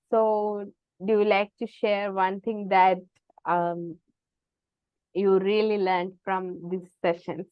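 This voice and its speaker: A woman leads an online group session, pausing and restarting often. Her voice is smooth and clear, her delivery slow and flat. The call audio is dull and dry. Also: mid-range voice, no room sound. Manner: hesitant, many restarts and "like" fillers. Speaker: session facilitator.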